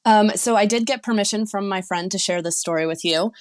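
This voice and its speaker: A woman's voice: mid-range voice, a little fast. A woman sex and relationship coach, a podcast guest, talking frankly and laughing easily.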